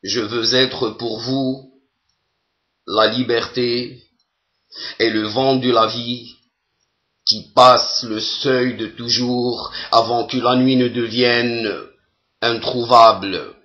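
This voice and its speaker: A man giving a solemn poetry recitation in a measured, deliberate way, pausing between phrases. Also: mid-range voice, level tone, muffled recording.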